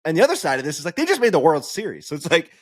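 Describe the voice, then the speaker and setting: A man's voice: fairly high pitch, huge pitch swings, racing, dry recording. A man co-hosting a podcast, ranting in casual sports talk and swearing freely. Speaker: podcast co-host.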